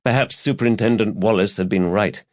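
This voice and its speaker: A man's voice: lowish voice, a little fast, a little mellow, no echo. A man narrating a crime thriller audiobook in a scripted, dramatic storytelling style. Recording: slightly dull, lo-fi audio.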